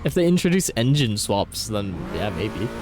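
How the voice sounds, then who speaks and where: A man's voice: fairly low pitch, very animated, moderate pace, over music. A young man giving casual gaming commentary, slangy and profane, with muttered asides. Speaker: game streamer.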